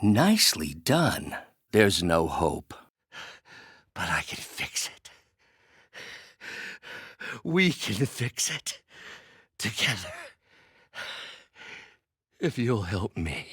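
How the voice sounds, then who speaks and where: An older male scientist from a superhero game, a learned mentor with a bitter edge. He speaks articulately and theatrically, his pitch moving widely. The recording is dry and studio-clean. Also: sing-song, drawn-out words, long pauses, fairly bright tone, breathy edge, no echo, full character voice. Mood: earnest. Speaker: voice actor in a superhero video game. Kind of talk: dramatic video game voice acting.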